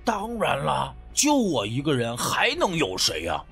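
A man's voice: medium pitch, wildly swooping pitch, a little slow, vocal fry, a bit bright, husky, soft background music, exaggerated voice. A man voicing a sly, teasing, superstitious friend who wheedles and drawls in an audiobook story. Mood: earnest, insisting.

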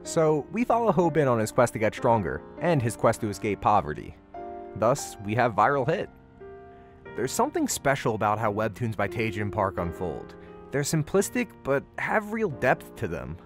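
A man's voice: mid-range voice, wildly swooping pitch, normal speed. A man hosting a video, reading a scripted review in an emphatic, conversational way. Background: background music.

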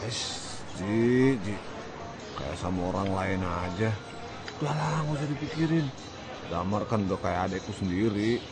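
A man's voice: on the low side, animated, unhurried. An actor playing an easygoing young man chatting with his childhood friend in a radio drama, using casual slang.

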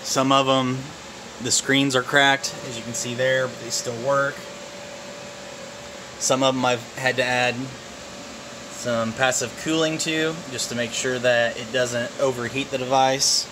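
A man giving a casual, unscripted walkthrough of his home office and phones, relaxed and halting, with plenty of fillers. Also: mid-range voice, noisy background. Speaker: vlogger showing his phone farm. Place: cluttered home office full of phones and computers. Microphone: handheld camera mic, filming while walking around.

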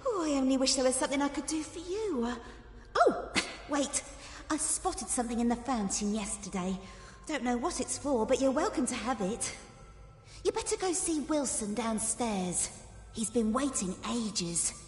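A woman's voice: high-pitched, animated, moderate pace. A woman playing a timid, kindly housemaid in a video game, speaking in an earnest, emotive way. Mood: helpful. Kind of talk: video game character acting. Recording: echoey hall, music behind.

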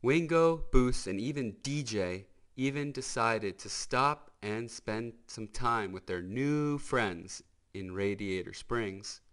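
A man narrating a children's storybook in an expressive, emphatic manner. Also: medium pitch, slow pace, hardly any pauses. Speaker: storybook reader.

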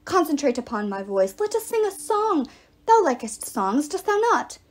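A woman's voice: high-pitched, sing-song, normal speed, voice pushed up. A woman voicing a magical talking arrow in an audiobook, urgent and bombastic, with archaic, declamatory Shakespearean diction. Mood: urgent, coaxing.

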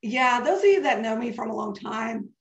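A woman's voice: a little high, lively intonation, normal speed. A woman in her forties giving a live webinar over a video call. She talks in a chatty, digressive way, and her voice sounds congested and scratchy. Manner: chatty and digressive, answering questions. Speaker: webinar presenter. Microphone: webcam video call. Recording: dull echoey video-call audio.

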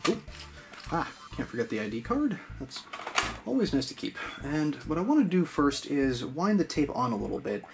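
A man in his thirties hosting an explainer video in a playful, animated way with casual asides. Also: fairly high pitch, normal speed, music in the background. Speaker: video host. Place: small home room with hi-fi gear, indoors.

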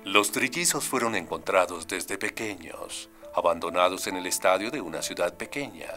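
A man's voice: low-pitched, moderate pace, over music, natural voice. A man voicing a solemn documentary storyteller, giving dramatic narration in a cartoon. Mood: solemn, mysterious.

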